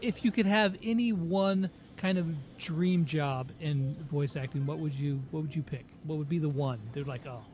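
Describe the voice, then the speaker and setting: A woman's voice: sits low, normal speed, few pauses, a little mellow. A woman interviewer chatting casually and putting questions in an informal way. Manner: relays fan questions informally. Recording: music behind the talk.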